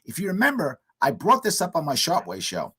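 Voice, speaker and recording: A hoarse man hosting a call-in radio talk show, giving emphatic political commentary in short punchy statements. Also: fairly high pitch, wildly swooping pitch, a little fast, fairly bright tone. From United States.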